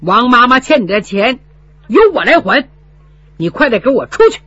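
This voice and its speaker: A woman's voice: mid-range voice, huge pitch swings, unhurried, slightly rough, no echo, muffled recording, exaggerated voice. A woman voicing a devoted, hot-tempered young son in a spoken story, talking earnestly and defiantly.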